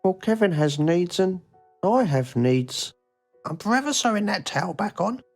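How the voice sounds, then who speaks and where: A grown oddball stuck in his bedroom, acted by a man, speaks with husky, earnest melodrama. He is lovelorn and eccentric, a mockumentary game character. Faint music plays behind him. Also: sits high, lively intonation, normal speed. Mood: coyly confiding. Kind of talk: mockumentary game acting, dramatic reading.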